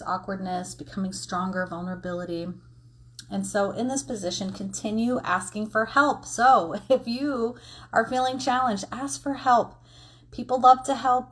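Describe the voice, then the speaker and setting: A woman's voice: medium pitch, fairly bright tone. Female oracle reader, mid-range voice, informal and explanatory, encouraging conversational delivery. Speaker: tarot and oracle card reader. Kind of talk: casual explanatory card reading to camera.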